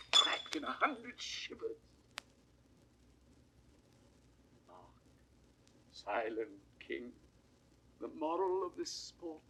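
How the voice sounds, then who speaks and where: A man's voice: on the high side, a bit bright, breathy edge, full character voice, poor audio. An English actor playing a grief-stricken, proud deposed king in declamatory Shakespearean verse, in a 1960s audio drama. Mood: tragic despair. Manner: declamatory, drawn-out theatrical verse delivery. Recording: vintage studio recording, faint hiss.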